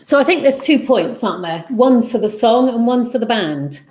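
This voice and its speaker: A woman hosting a casual online quiz, reading questions slowly and repeating them before chatting. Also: a little high, animated, a little mellow. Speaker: quiz host.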